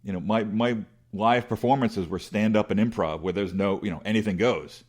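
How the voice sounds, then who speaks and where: A man's voice: fairly low pitch, moderate pace, a little mellow, breathy edge. A middle-aged man with a big voice, telling rambling, self-deprecating stories as an interview guest with many restarts. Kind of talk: casual conversational storytelling.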